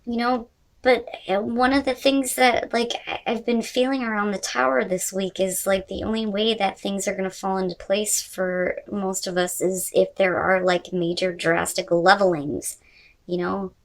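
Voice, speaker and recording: A woman with a medium-pitched voice reads tarot cards for her audience. She speaks casually and without a script, stressing her points and talking straight to the listener. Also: little pitch movement, normal speed, poor audio. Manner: conversational and emphatic, with mild swearing. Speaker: tarot reader, host. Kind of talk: casual unscripted tarot reading addressed to viewers.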